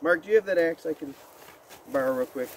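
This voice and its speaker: A man's voice: fairly high pitch, a little fast. Middle-aged man demonstrating outdoors. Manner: relaxed, explaining step by step while working. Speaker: bushcraft demonstrator. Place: pine forest outdoors. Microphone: camera a few meters away. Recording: outdoor noise behind voice.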